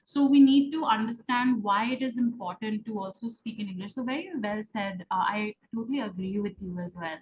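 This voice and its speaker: Woman interviewer, middle pitch, affirming, lots of fillers, faint background hiss. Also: brisk, few pauses, a little mellow, rough recording. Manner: affirming, many "you know" fillers. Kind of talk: conversational video interview.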